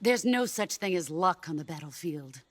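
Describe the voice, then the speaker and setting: An actress playing a stern, battle-hardened woman soldier in a video game, calm, grave and commanding. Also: on the low side. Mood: grave, assured. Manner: grave, measured. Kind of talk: video game cutscene acting.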